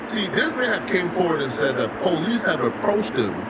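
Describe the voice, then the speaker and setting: A man's voice: high voice, big pitch swings, normal speed, heavy background noise. A man narrating a gossip news commentary clip in a chatty way, full of "you know" fillers.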